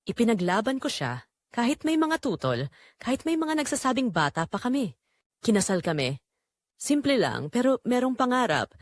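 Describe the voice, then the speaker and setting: A woman playing a betrayed young wife in an audio drama, narrating her heartbreak in earnest, measured, confessional phrases. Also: on the high side, sing-song, no echo. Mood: wounded, reflective. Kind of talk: scripted first-person dramatic narration.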